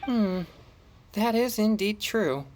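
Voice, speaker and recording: A woman's voice: medium pitch, lively intonation, slow pace, darkish tone, slight background noise. A woman voicing a polite, composed lady in an anime game, speaking formally and with consideration. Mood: considered.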